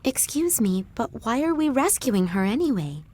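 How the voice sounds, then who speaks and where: A woman's voice: on the high side, big pitch swings, normal speed, dark tone. A woman voicing a polite, anxious young female rebel in a video game, hesitant and questioning. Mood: earnest, uncertain.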